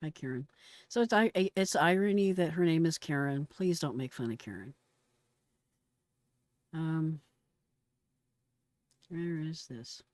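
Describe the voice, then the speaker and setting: Middle-aged american lady reacting casually, slangy and blunt, lowish voice, dry close sound. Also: a little slow, long pauses, a little mellow, dry recording. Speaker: retired emergency dispatcher reacting to a call recording.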